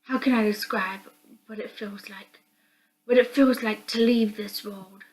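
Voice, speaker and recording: A woman's voice: mid-range voice, fairly even intonation, a little slow, poor audio. A woman reading a visual novel game aloud as dramatic narration. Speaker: host of a visual novel read-through. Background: background music.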